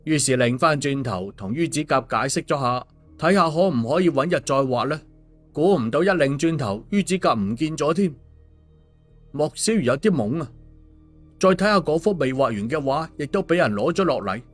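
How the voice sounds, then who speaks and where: A man's voice: on the high side, animated, normal speed. A man narrating a scripted story as an audiobook storyteller. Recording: music behind the voice. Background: background music.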